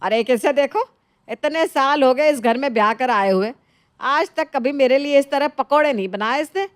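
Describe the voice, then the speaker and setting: A woman's voice: high voice, normal speed, dry recording, clean studio sound, lightly acted. A woman playing an older mother-in-law in a moral story, effusive and complaining in an exaggerated way. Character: nagging older mother-in-law, gushing over niece, scolding her own. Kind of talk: animated moral story dialogue.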